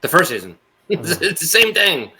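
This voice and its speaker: A man's voice: fairly high pitch, big pitch swings, normal speed, crowd noise. A man co-hosting a podcast, bantering casually with his friends. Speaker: podcast co-host.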